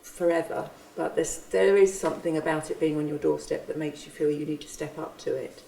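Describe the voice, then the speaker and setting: Earnest woman giving testimony; gravelly, mellow, recorded distant in a reverberant space. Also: deep voice, steady tone, a little hiss or hum. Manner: earnest, halting with restarts. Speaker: church member and refugee host. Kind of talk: reflective testimony. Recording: roomy, from a distance.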